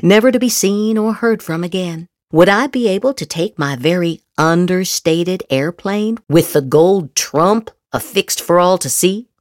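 A woman giving a theatrical, sarcastic dramatic reading of political posts as a podcast host, with exaggerated emphasis and drawn-out words. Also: fairly low pitch, dry recording, studio quality.

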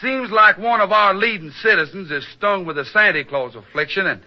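A man's voice: sits high, lightly acted. An actor as a folksy Western townsman, dull old radio sound. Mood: folksy, persuading. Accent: American Western drawl. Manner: rustic, drawn-out folksy phrasing. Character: folksy Old West townsman, plain-spoken, wry, earnest.

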